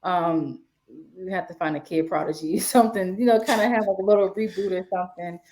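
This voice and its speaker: Mellow-voiced woman in her twenties. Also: on the low side, fairly quick, a little hiss or hum. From DC area. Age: 20s. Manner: relaxed, chatty, many fillers and restarts. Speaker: guest, sports media writer. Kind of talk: casual interview talk.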